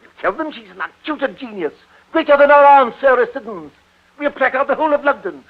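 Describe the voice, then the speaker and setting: An actor playing an excitable, domineering theatre-manager father in a declamatory 1940s radio drama style. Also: very high voice, fairly quick. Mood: insistent, scheming. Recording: tinny, band-limited 1940 radio broadcast.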